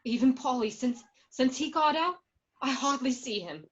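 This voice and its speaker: A woman's voice: high-pitched, lively intonation, normal speed, dull audio. A woman acting as an angry, hurt wife of a jailed mobster, accusing and emotional, in a radio drama. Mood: despairing.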